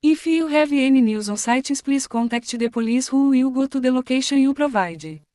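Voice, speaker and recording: A woman's voice: high-pitched, moderate pace, mellow, no room sound. A woman announcer delivering a scripted emergency news bulletin.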